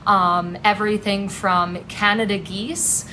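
Woman recommending a book, faint rumble. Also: fairly even intonation, bright, forceful tone, poor audio. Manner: measured, explanatory, with filler ums. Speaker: library staff guest.

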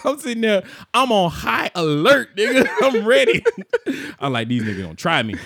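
A man's voice: high voice, normal speed, gravelly, dry recording, studio quality. A young man co-hosting a podcast, telling stories in a loose, laughing way and acting out the people he quotes. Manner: laughs often, animated storytelling with quoted voices. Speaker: podcast co-host. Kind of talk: casual storytelling chat.

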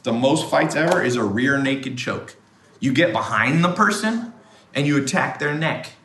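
Blunt, swearing male host with a bright, forceful voice; echoey office, faint hiss. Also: medium pitch, normal speed. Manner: blunt, animated, swears, jumps between topics. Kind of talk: casual motivational talk. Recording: echoey room, faint noise.

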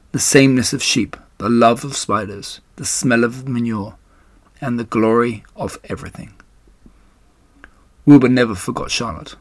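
A man reading a children's book aloud as storyteller, with measured, expressive phrasing. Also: mid-range voice, slightly rough, no room sound. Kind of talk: scripted children's book narration.

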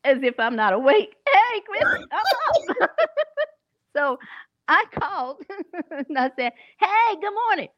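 Bright, teasing woman co-host. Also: extremely high pitch, very animated, slow, pauses often, no echo, dull audio. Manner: teasing, storytelling. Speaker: podcast co-host. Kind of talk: casual morning chat.

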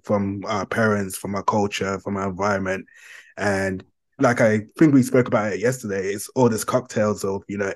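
A man hosting a podcast interview, asking long, rambling questions with fillers. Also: lowish voice. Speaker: podcast host.

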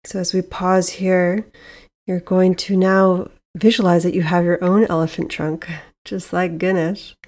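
Female meditation guide, lowish voice with a dark, relaxed tone, measured and soothing delivery, plenty of pauses. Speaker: meditation guide, podcast host.

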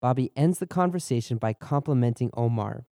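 Podcast language teacher, young man, deep mellow tone, measured and clear, dry studio sound. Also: expressive, no room sound. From born in Germany, raised in Boston. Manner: clear, measured explanations, slows down for repeat drills. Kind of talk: bilingual lesson narration, Japanese and English.

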